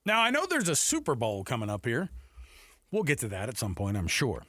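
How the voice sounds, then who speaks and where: A man hosts casual sports talk radio in a mid-pitched, bright and forceful voice. The sound is dry and close, with no room echo. His delivery is chatty and conversational. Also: huge pitch swings, brisk.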